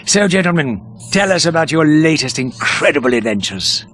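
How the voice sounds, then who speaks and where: A man playing a polished, showy television talk show host in a video game, with smooth presenter delivery. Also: on the high side, sing-song, normal speed, fairly bright tone, slight background noise. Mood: showy, welcoming. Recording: music behind the voice.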